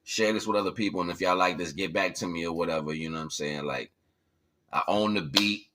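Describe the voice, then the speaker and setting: A man's voice: low voice, fast talker, background noise. An American man chatting casually and informally to followers as a livestream host, with slangy speech and repeated catchphrases. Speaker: livestream host and independent musician.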